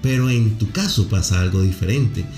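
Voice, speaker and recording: A talkative male podcast host with a low voice and an effusive manner. He explains his points at length. His voice sits in an echoey room with faint music underneath. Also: normal speed, darkish tone. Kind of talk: podcast interview.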